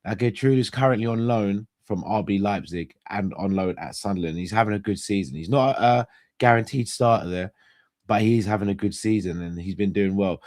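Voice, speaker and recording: A young man hosts a casual live stream. His somewhat low voice is hoarse and stuffed up. He chats straight to his viewers and often backs up to restart a phrase. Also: brisk, darkish tone. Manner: chatty, addresses viewers directly, restarts phrases. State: hoarse and congested, losing his voice. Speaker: host.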